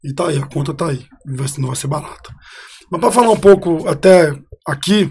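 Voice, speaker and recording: A man of about forty from Rio de Janeiro, speaking with a high, slightly husky voice. He tells stories about himself in a loose, chatty way, and is recorded close on a studio podcast mic. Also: a little fast, a little mellow. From Rio de Janeiro, Brazil. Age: 40s. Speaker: guest, city councillor. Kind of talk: casual storytelling interview.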